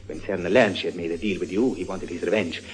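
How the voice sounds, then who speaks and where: An actor playing a cold, insistent, corrupt police lieutenant in a curt, commanding old-time radio style. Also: male voice, sits low, steady tone, fast, natural voice. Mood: urgent, pressing. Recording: vintage radio drama recording.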